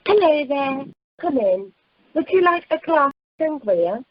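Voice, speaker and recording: A chirpy, kindly female holiday rep, played by a woman in an amateur radio comedy. The voice is high and animated, recorded dry and dull over a phone conference. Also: measured pace, no echo. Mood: welcoming. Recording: dull telephone conference line.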